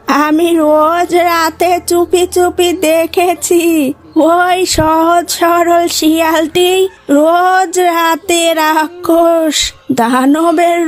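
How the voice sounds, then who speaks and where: Woman voicing a high, slow old village wise woman. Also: heavily acted.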